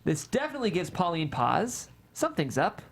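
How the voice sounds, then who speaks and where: A narrator reads screenplay stage directions at a table read. The voice sits at a medium pitch and moves with lively, wide inflection through the scripted action. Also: fairly quick.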